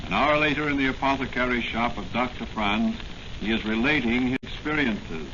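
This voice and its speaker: Muffled and noisy, like an old broadcast, a male announcer narrates a serial. His mid-pitched voice is dramatic and declamatory in 1940s radio style. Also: moderate pace.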